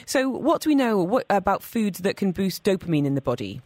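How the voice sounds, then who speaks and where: A woman's voice: mid-range voice, very animated, brisk, a little mellow, dry recording. A woman hosting a radio talk show in a studio, chatty and upbeat, laughing easily. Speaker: radio host.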